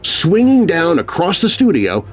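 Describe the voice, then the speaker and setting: A man's voice: high voice, huge pitch swings, measured pace, faint music behind. A man narrating a scary story for children in a theatrical, suspenseful, scripted style. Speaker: narrator and host.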